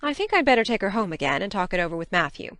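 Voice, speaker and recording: A woman playing a stern, practical middle-aged spinster farm woman in an audiobook drama, crisp and scolding in manner. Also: medium pitch, big pitch swings, very fast, a bit bright, no room sound, clean studio sound. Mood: measured, hesitant.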